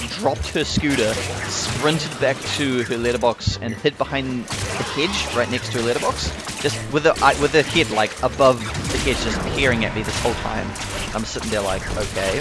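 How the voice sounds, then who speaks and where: A young man chats casually as he games, telling anecdotes with plenty of swearing. His delivery is animated and he acts out his reactions, with music playing clearly behind him. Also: medium pitch. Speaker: gaming video host. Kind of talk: casual storytelling over gameplay.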